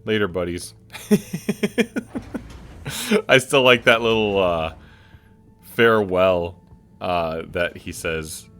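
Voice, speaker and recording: A man's voice: sits low, slow pace, long pauses, a bit bright, clear, resonant tone. A man hosting a casual gameplay video, musing aloud and chatting about what he sees. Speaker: gaming video host. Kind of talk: casual let's play commentary.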